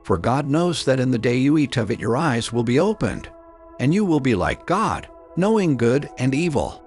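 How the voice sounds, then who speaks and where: A man's voice: mid-range voice, very animated, moderate pace, natural voice. A man playing a cunning, persuasive serpent in a dramatized Bible audio production. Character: cunning serpent tempting a woman, smooth deceiver. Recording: background music under the voice.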